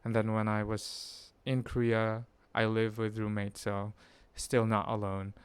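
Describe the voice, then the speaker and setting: Slow, hesitant man in his twenties. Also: on the low side, monotone, clean tone, dry recording. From Philippines. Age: 20s. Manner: hesitant, full of fillers and self-corrections. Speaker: vlogger. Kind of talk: rambling personal chat to camera.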